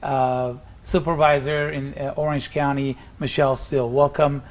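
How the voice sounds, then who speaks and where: A middle-aged man hosting an interview, his voice in an ordinary mid range. He speaks haltingly, pausing often as he works out each question. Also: medium pitch, flat delivery. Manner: hesitant, many fillers and restarts. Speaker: interview host.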